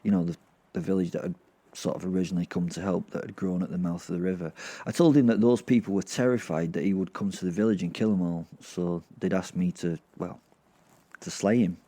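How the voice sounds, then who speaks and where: Male podcast storyteller, low pitch, mellow dark tone, informal, rambling delivery. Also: steady tone, brisk. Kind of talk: first-person dramatic fantasy narration.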